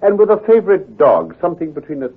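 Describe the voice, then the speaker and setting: An actor playing a brisk, confident, teasing gentleman detective with a British accent, precise and patronizing, in an old-time radio drama. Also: high voice, very animated, breathy edge, exaggerated voice. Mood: dryly superior. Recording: muffled, lo-fi vintage radio recording.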